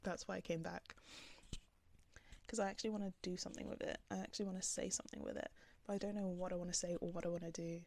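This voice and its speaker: Young woman vlogger, soft calming voice, lowish with some fry, loose filler-heavy chat. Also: quick pace. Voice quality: calm, soft voice. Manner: rambling, filler-heavy with many "like"s. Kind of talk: casual talk to camera. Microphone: camera on the speaker.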